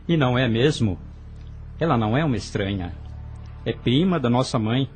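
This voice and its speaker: A man's voice: medium pitch, expressive, moderate pace, slightly rough, faint music behind. A man voicing a young brother in an audio drama, earnest and hesitant as he confesses. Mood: tense. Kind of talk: radio drama acting.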